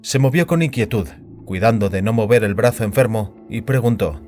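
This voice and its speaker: A man's voice: fairly low pitch, normal speed, a little mellow. A man narrating a scripted fiction story as a dramatic audio production. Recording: music bed behind the voice.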